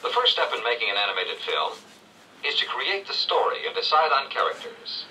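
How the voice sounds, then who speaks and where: Old 70s read-along narrator guy, real bright and lively, sounds played back from across the room, bit of noise. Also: mid-range voice, recorded from a distance, low-quality recording. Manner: measured storytelling with clear phrasing. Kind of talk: scripted 1970s educational narration.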